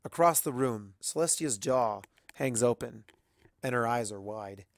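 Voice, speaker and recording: Story narrator, medium pitch, very dry close recording, deliberate and expressive dramatic reading. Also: creaky, no room sound. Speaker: fan fiction reader.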